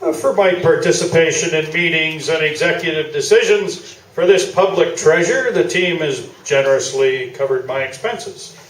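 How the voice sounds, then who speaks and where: Guy doing a puffed up old sports columnist, highish voice, boasting and dodging, radio play on a stage, roomy far-off mic. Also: moderate pace, fairly bright tone, natural voice. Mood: haughty, defensive. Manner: boastful, blustering, rambling. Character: pompous, evasive, self-important old male sports columnist. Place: small theatre stage, live performance. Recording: echoey stage, distant camera mic.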